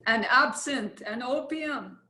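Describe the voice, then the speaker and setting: Casting: woman as blunt Russian artist's model, high voice, stage reading with roomy echo. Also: takes it slowly, bright, forceful tone. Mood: dry, blunt. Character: blunt, worldly foreign artist's model with broken English. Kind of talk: readers theatre play reading.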